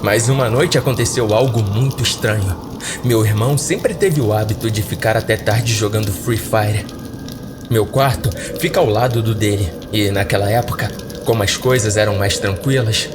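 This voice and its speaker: A Brazilian man reads scripted horror stories for a podcast. His voice sits on the low side. Prominent music plays behind him throughout. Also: normal speed. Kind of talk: scripted horror story narration. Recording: loud background music.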